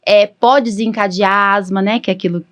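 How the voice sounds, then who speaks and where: A woman with a Brazilian accent talks as a doctor and podcast host. She explains in an informal, conversational way, often seeking agreement as she goes. Her pitch sits in an average range for a woman. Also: steady tone, moderate pace, a bit bright.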